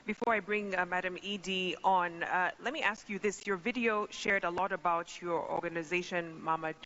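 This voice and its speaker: Woman hosting a formal panel, bright tense voice, dull lo-fi sound. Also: lowish voice, fairly even intonation, moderate pace. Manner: polished, formal addresses to dignitaries. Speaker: event moderator.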